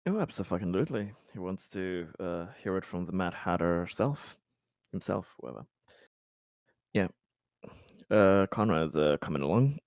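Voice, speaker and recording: A man's voice: low voice, expressive, moderate pace, pauses often, darkish tone, no echo, clean studio sound. A man playing a tabletop game, casually saying what his characters do.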